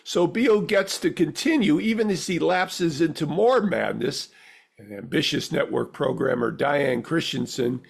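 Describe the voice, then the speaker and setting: Gravelly-voiced man reading a theater review. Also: a little high, measured pace. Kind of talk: scripted audio theater review narration.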